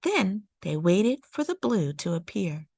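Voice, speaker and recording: A woman narrating a children's nonfiction picture book as a storytime reader, with measured, deliberate phrasing. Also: medium pitch, big pitch swings, creaky, a little mellow, husky, dry recording, clean studio sound. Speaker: storytime read-aloud host.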